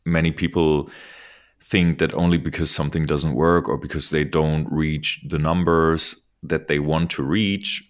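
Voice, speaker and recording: A man's voice: rumbling low, steady tone, measured pace. A man co-hosting a marketing podcast in casual chat, joking and laughing often. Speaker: podcast co-host. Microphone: podcast microphone over video call.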